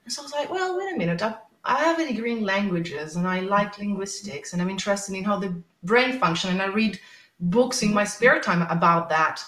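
A woman's voice: medium pitch, expressive, fairly quick, a bit bright. A woman in her thirties, a speech therapist who stammers, talking openly and emotionally on a podcast. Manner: open, emotional, stammers at times. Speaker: guest, speech and language therapist. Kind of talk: podcast conversation. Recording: echoey room.

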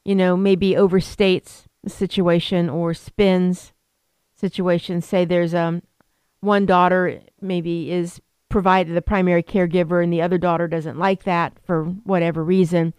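A woman's voice: lowish voice, little pitch movement, unhurried, a little mellow, clear, resonant tone, no room sound. A woman attorney explaining elder law on a radio talk show, rambling with many fillers and restarts. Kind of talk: radio interview.

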